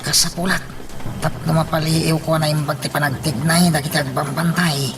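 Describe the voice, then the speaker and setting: A man's voice: a little high, little pitch movement, normal speed, close to an everyday voice. Man acting a furtive hired gunman, noisy background. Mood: intent, calculating. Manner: dramatic self-talk, muttered exclamations. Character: determined, furtive male hired gunman muttering his plans. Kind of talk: radio drama monologue. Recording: clear noisy ambience behind the voice.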